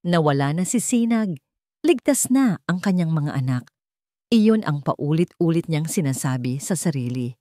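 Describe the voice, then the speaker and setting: Casting: Filipino woman, lower register, solemn and emotive dramatic story narrator, very dry close sound. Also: dark tone, no room sound.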